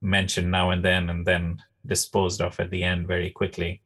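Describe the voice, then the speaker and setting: A man's voice: sits low, completely flat delivery, normal speed, a bit bright, breathy edge. A man chatting casually as a co-host on a podcast. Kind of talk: casual chat.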